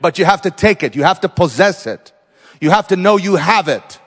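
A man's voice: high-pitched, lively intonation, moderate pace. Fiery preacher man in a reverberant hall. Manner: declaring, rising to loud exclamations. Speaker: missionary preacher. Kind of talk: pentecostal sermon.

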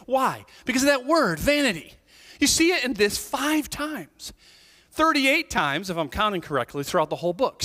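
A man preaches from the pulpit in an animated, chatty manner, often asking his listeners "right?" His voice sits high and leaps up and down. A long reverb from the church hall trails behind his words. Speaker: pastor. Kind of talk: sermon. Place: church sanctuary.

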